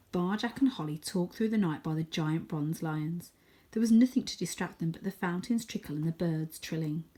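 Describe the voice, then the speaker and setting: A woman reading a children's story aloud in a dramatic manner, dropping to a hushed near-whisper for suspense. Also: fairly low pitch.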